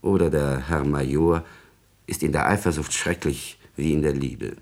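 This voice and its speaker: An actor playing a servile, scheming court secretary in a classical radio drama, insinuating and calculating in a formal, obsequious manner. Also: male voice, a bass voice, fairly even intonation, normal speed, darkish tone.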